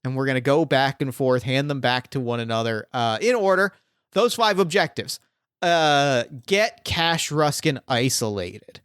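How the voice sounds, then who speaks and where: A man's voice: mid-range voice, expressive, measured pace, fairly bright tone, clear, resonant tone, no room sound, clean studio sound. A man narrating a tabletop game as game master, rambling and thinking aloud with plenty of fillers.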